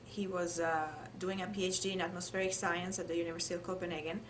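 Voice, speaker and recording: A woman's voice: lowish voice, little pitch movement, fast talker. A woman from Italy with an Italian accent, thoughtfully recalling her life in an interview. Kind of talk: oral history interview, personal recollection. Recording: clear background noise, room ambience.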